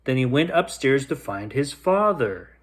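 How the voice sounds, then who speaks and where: A man's voice: mid-range voice, a little slow. A man hosting a bedtime story, reading to children expressively and playfully. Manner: expressive, with playful repeated sound effects.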